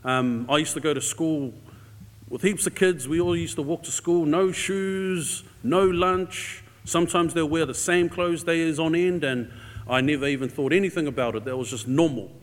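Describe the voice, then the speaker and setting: A man's voice: fairly high pitch, moderate pace. A man with a New Zealand accent gives an informal church talk as a guest speaker, sharing a personal story in a casual, conversational, somewhat hesitant way. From New Zealand, grew up in Auckland. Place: large indoor church hall. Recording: echoey hall with long reverb.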